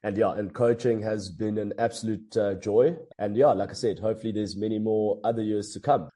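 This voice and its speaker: A man from Africa, a hockey coach giving earnest, measured interview answers. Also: lowish voice, steady tone, mellow. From South Africa. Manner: earnest, measured, with frequent fillers and restarts.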